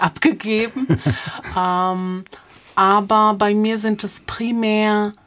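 A woman in her forties from Germany, answering interview questions conversationally as a poet, reflective and pausing to search for words. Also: mid-range voice, fairly bright tone. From Offenbach, Germany. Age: 40s.

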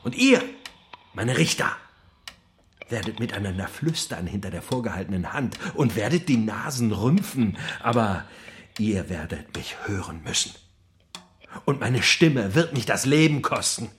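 A fanatical hunger-striking prisoner with a lowish voice. He declaims intensely in radio-drama style, moving between muttering and proclamation, over audible noise. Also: very animated, unhurried. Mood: fervent, accusing. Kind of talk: theatrical German radio play monologue.

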